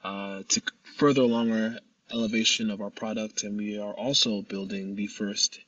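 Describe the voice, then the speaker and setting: Measured man with a husky low voice. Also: dry recording, recorded from a distance, dull audio. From United States. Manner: measured, steady, with occasional "uh" pauses. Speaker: startup founder, guest. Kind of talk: interview answers.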